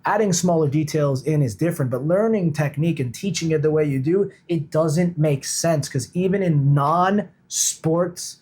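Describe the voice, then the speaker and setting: A man's voice: on the high side, moderate pace, few pauses, a little mellow, husky. A man talking casually as an interview guest, explaining his points informally and emphatically like a coach. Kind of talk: casual conversational interview talk.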